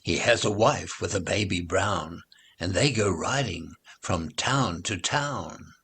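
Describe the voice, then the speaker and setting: A man reading nursery rhymes aloud in an expressive, deliberate, sing-song way, as a recital for children. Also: sits low, gravelly, slightly rough.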